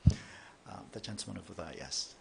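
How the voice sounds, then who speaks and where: A man moderating a conference Q&A, speaking in a measured way with frequent ums. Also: deep voice, animated, gravelly, heavy background noise.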